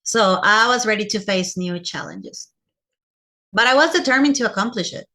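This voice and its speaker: A woman's voice: mid-range voice, expressive, normal speed, sharp tone. A woman from Costa Rica with a Costa Rican Spanish accent in English, speaking as a teacher giving a personal presentation to fellow teachers.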